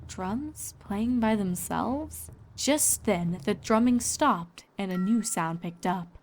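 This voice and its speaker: A woman's voice: mid-range voice, animated, slow pace, slight background noise. A woman reading scripted story narration as a storyteller and voice actor.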